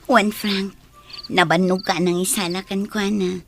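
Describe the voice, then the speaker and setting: A woman's voice: mid-range voice, little pitch movement, a little slow, soft background music, lightly acted. An actress playing a tender, grateful young wife and mother in a radio drama.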